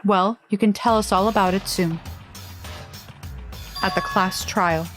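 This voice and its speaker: A woman playing a cool, serious teenage girl in an anime fandub, speaking in a measured, reserved way. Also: mid-range voice, fairly even intonation, a bit bright, soft background music, natural voice. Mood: cool, probing. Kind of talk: anime game fandub voice acting.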